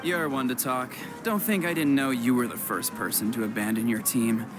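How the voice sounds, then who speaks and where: A man's voice: medium pitch, moderate pace, over music, lightly acted. A man playing a proud, stern team leader in an anime-style game.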